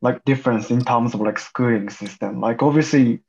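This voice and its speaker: A man's voice: medium pitch, moderate pace, darkish tone, dull audio, a little hiss or hum. A young man from Japan, an English speaker, chatting casually with many fillers. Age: young adult. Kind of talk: casual chat.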